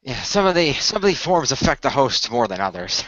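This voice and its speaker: Man as a bright-voiced, pragmatic team boss. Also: medium pitch, sing-song, fairly quick, no room sound, dull audio. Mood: concerned. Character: Noah, pragmatic weary male boss of a hero team.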